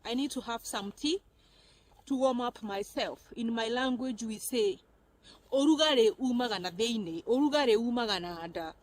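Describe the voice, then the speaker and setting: A woman's voice: on the high side, moderate pace. Kenyan woman vlogging on a winter walk. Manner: chatty, laughs often, talks as she walks. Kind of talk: casual walking vlog. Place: snowy forest outdoors in winter. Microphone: phone camera.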